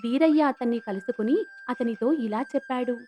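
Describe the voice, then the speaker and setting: High-voiced woman narrating a moral story. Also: normal speed, mellow. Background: background music.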